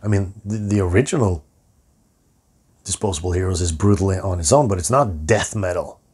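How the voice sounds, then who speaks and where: A middle-aged man with a hoarse voice, rambling and swearing through a casual rant about his music to the camera. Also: low-pitched, normal speed, a little mellow. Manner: rambling, profane, self-interrupting. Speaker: metal musician and vlogger.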